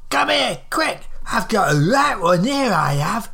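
Shrill, livid old Northern English woman. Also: big pitch swings, measured pace, a bit bright, full character voice. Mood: hollering, bossy. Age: older. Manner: indignant, hectoring, sweary. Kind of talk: audiobook character voice.

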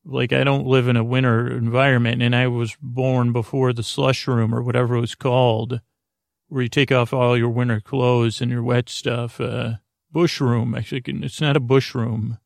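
A man hosting a sleep podcast in a creaky, mumbling, soothing voice, rambling haltingly with many fillers and restarts. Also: mid-range voice, flat delivery, no echo, studio quality. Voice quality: mumbling, mushy-mouthed. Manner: lulling, soothing, meandering. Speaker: podcast host.

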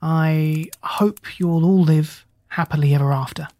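A narrator voicing a kind, mild-mannered doctor who murmurs gently. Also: mid-range voice, steady tone, measured pace, no room sound, lightly acted. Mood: gentle.

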